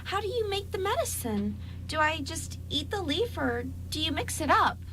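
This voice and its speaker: A woman's voice: extremely high pitch, very animated, normal speed, sharp tone, a little hiss or hum, heavily acted. A woman playing a tiny, polite, cheerful female fairy in an amateur radio drama. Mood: curious.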